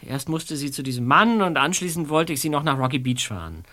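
A flustered young detective under questioning. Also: male voice, a little high, expressive, a little fast, pushed and bright. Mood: defensive. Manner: defensive, flustered. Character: young male detective under interrogation, nervous. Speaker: suspect in a radio play. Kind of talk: radio play acting.

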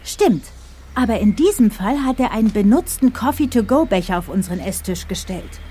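An actress playing a brisk, self-assured young female client reporting a puzzling break-in in a German audio drama. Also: mid-range voice, lively intonation, dark tone, breathy edge. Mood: matter-of-fact, insistent.

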